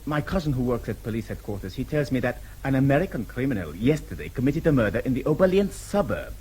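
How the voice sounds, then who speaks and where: An actor playing a grave, courteous German man in a radio drama, speaking formally and deliberately with a German accent. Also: mid-range voice, sing-song, darkish tone, slight background noise, natural voice, low-quality recording. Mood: apologetic, anxious. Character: courteous, principled German man, grave confidant or consular clerk.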